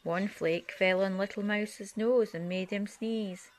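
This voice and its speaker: A woman's voice: mid-range voice, steady tone, slow pace. A woman narrating a children's picture book aloud as a storyteller.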